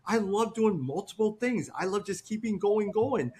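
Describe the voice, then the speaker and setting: Enthusiastic man with a higher voice. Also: animated, moderate pace, darkish tone, breathy edge. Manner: enthusiastic, rambling, restarts questions. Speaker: podcast host. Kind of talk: conversational interview.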